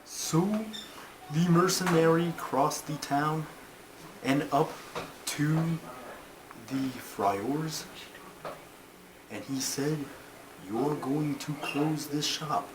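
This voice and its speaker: A man voicing a puppet turtle who tells stories in an earnest, bossy, deliberate way with formal wording. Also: a little high. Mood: solemn storytelling. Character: Terry, a slow, earnest, bossy storytelling male turtle puppet. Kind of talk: storytelling in a puppet comedy. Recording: clearly noisy homemade recording.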